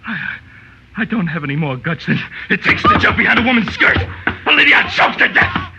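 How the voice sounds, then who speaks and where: High-pitched, jittery, cowardly radio villain. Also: male voice, wildly swooping pitch, normal speed, loud music behind the voice, exaggerated voice. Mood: panicked, pleading. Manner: agitated, stammering, pleading. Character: nervous, cowardly male killer in a detective story. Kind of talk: 1940s radio melodrama acting. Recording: muffled, faintly noisy old radio broadcast.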